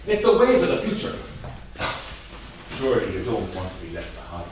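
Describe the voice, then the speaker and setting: A male actor plays a persuasive family man who sells for a living. His delivery is lively and insistent, recorded from a distance in a very echoey theatre. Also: low-pitched, normal speed. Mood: eager, persuasive. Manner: smooth-talking, insistent. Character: persuasive, proud Dublin salesman and family man. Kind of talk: staged script reading. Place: theatre, staged reading. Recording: echoey theatre from far off, faint noise.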